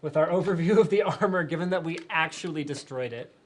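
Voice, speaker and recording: A man's voice: on the high side, quick pace. A man hosting a live tech show, chatting casually and answering viewer questions with lots of fillers. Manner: energetic, chatty, many fillers.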